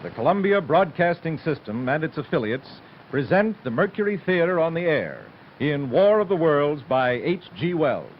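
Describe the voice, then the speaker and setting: A man's voice: on the high side, big pitch swings, normal speed, slight background noise. An actor playing a stately, formal 1930s male network radio announcer. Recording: dull lo-fi old radio broadcast.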